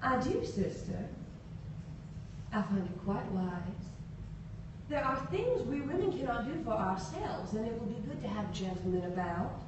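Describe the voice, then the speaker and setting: A genteel, self-assured Southern mother, acted out by a woman reading a story on stage. She speaks deliberately, with vain certainty. The sound is distant, with heavy room echo and clear noise. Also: a little high, very animated. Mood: prim, certain. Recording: echoey room from far off, noisy.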